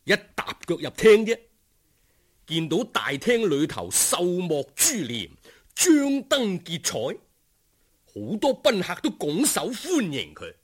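A male Cantonese storyteller narrates in an expressive, dramatic way. His husky voice swings widely in pitch while keeping a slow, savouring pace. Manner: expressive, unhurried, savouring descriptions. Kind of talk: dramatic scripted folk-tale narration.

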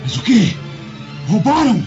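An actor playing an angry, grasping slave owner in a Brazilian Portuguese radio drama, theatrical and menacing. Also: male voice, high voice, huge pitch swings, measured pace, darkish tone, full character voice. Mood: furious. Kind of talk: dubbed radio drama acting. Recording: dull lo-fi audio with music underneath.

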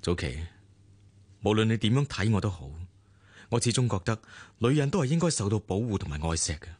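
Casting: persuasive self-made husband in radio drama, Hong Kong accent, low animated delivery, dry studio sound. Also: male voice, fairly quick, slightly rough, dry recording. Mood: persuasive, placating. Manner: earnest, placating, persuasive. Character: self-made businessman husband, earnest, devoted, patient. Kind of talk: Cantonese radio drama acting, dialogue.

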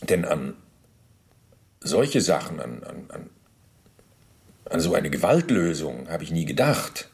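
An actor in a radio play voicing a middle-aged Nazi bureaucrat under interrogation, formal, halting and self-justifying, with deliberate pauses. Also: male voice, extremely low pitch, big pitch swings, gravelly, darkish tone, full character voice. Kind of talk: German radio play, testimony monologue.

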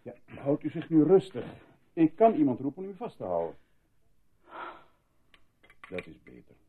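An actor playing a controlling, evasive male doctor in a radio drama, speaking formally in a husky, patronising voice. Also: a little high, sing-song, slight background noise. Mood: firm, hushing. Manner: formal, patronising, measured reassurance. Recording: muffled lo-fi old radio recording.

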